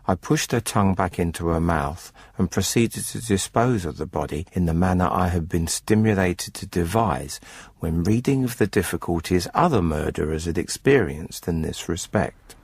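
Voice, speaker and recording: An actor playing a cold, methodical poultry farmer who confesses to murder in a formal, matter-of-fact, unrepentant radio drama narration. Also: male voice, deep voice, moderate pace, husky, no echo. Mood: coolly matter-of-fact.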